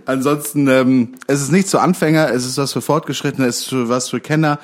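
A man's voice: medium pitch, steady tone, fairly quick. A man co-hosting a comedy podcast, riffing with mock-posh irony and laughing often. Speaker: podcast co-host.

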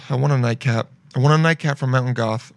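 A man's voice: medium pitch, brisk, vocal fry, dry recording. A young man hosting a casual podcast, rambling and joking as he browses shopping sites and reads reviews aloud. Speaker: podcast host.

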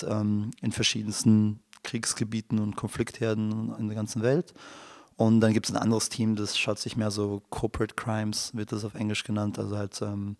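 A man's voice: fairly low pitch, a little mellow, dry recording. A man in his thirties, a guest in a studio podcast, explaining at length with many fillers and restarts. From Germany. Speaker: guest, dramaturg and human rights lecturer. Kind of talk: casual interview chat.

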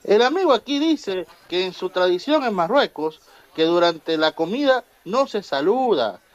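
A man plays a humble immigrant in a comic radio soap. His voice is high and swoops expressively. He explains things earnestly and respectfully. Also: big pitch swings, normal speed, dull audio. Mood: earnest explaining. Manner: deferential, explanatory. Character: polite, earnest Ecuadorian immigrant godfather pal. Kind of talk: humorous radio soap acting.

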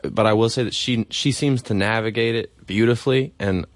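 Soft-spoken young man with a low voice. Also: brisk, no echo. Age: young adult. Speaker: musician guest. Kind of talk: casual interview chat.

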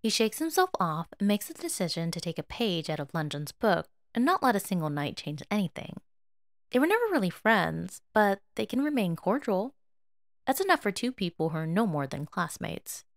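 A woman narrating a piece of fiction as an expressive, scripted audio story reading. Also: medium pitch, moderate pace, no echo, clean studio sound.

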